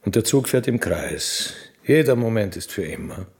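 A husky man's voice with wide swings in pitch. He is an eccentric, brooding academic in a radio play, musing earnestly and fearfully. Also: expressive, moderate pace, a little mellow. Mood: musing, dreamy. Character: eccentric, fearful, brooding genius logician and lecturer. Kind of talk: radio drama acting.

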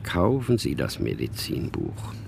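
An actor playing a wily East German bookshop salesman in a radio drama, gruff, with sly, swooping sales patter. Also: a bass voice, wildly swooping pitch, a little slow, a little mellow, slight background noise, heavily acted. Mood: sly, confiding.

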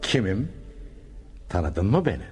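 A man playing a kindly, pious Sufi master in a Turkish radio play, speaking with measured solemnity. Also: deep voice, big pitch swings, a little mellow, faint music behind, full character voice. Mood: gentle. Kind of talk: Turkish radio theater acting. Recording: clear background noise.

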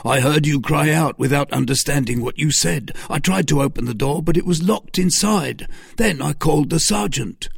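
A man's voice: on the high side, normal speed, creaky, few pauses, husky, no room sound, clean studio sound, pitched above the performer's own voice, exaggerated voice. A man voicing a dutiful soldier on guard, earnest and plain-spoken, in a storybook reading. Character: dutiful male soldier on guard, explaining himself.

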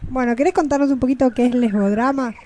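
High-voiced Argentine woman hosting radio. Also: quick pace, mellow. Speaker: radio host. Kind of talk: casual radio interview.

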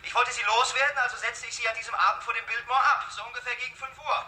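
A man's voice: very high-pitched, fairly even intonation, racing, sharp tone, roomy sound, heavily acted. An actor playing a nervous male witness who defensively recounts events in a radio play.

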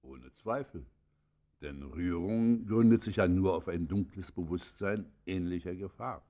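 An elderly, humane judge in a classic radio play. The voice sits low and speaks with formal gravity, philosophizing in measured, literary phrases. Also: male voice, mellow. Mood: fervent, philosophical. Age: older. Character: venerable old male judge, thoughtful, humane, philosophical.

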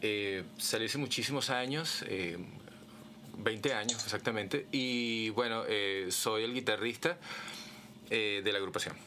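Casting: man, musician guest, forceful bright voice, halting casual talk, light noise behind. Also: lowish voice. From Caracas, Venezuela. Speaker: guitarist, band guest. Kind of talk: casual interview chat.

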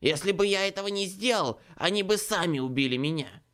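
A man's voice: high voice, expressive, brisk, a bit bright, pitched above the performer's own voice. A man voicing a cowardly young changeling who whines and pleads in a panic, read as an audiobook character. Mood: panicked pleading.

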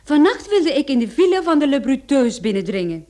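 A woman's voice: sits high, very animated, a little fast, a little mellow. A woman acting a daring, teasing, self-assured private detective in a Dutch-language radio play, with a playful and pointed delivery. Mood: teasing, confident.

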